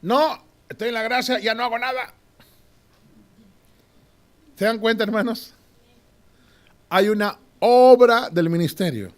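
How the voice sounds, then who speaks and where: A man teaching a Bible class in a preaching style. He often asks the class to agree and moves between loud and hushed delivery. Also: very high voice, unhurried, long pauses. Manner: swings from loud to hushed, with short laughs.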